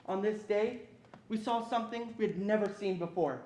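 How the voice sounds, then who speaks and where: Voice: mid-range voice, moderate pace, warm tone, lightly acted. A young performer playing a protective older brother who recalls his childhood in a readers theatre narration. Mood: solemn, remembering. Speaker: student performer. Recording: echoey room, faint background noise.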